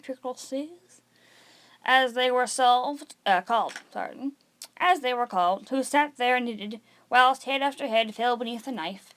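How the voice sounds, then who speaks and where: A woman's voice: high voice, a bit bright. A woman reading a story aloud as an amateur narrator, sometimes stumbling over words and correcting herself. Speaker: amateur reader of an audiobook read-along.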